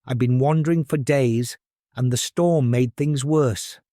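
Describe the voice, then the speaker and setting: A man voicing a refined young princess who speaks softly, clearly and politely, weary and earnest, in a storybook tale. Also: mid-range voice, unhurried, no echo, studio quality, natural voice.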